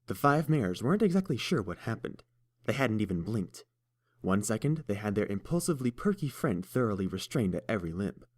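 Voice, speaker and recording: Low-voiced male audiobook narrator. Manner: steady, measured storytelling. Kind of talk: scripted fan fiction narration.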